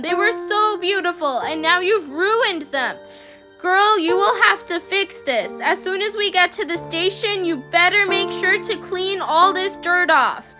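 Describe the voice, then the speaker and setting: A woman's voice: extremely high pitch, voice pushed up. A woman voicing a cruel, scornful mistress who shrieks and berates.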